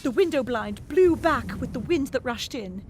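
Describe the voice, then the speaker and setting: A woman narrating a gothic novel in the first person, expressive and dramatic, dropping to whispers in the hushed passages. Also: sits high, moderate pace, a little hiss or hum.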